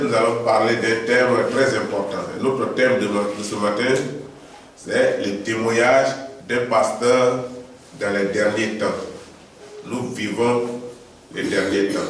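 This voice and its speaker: A male pastor preaching a sermon while suffering from a head cold, speaking slowly and deliberately with emphatic rises. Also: on the low side. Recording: very echoey, distant, faint background noise.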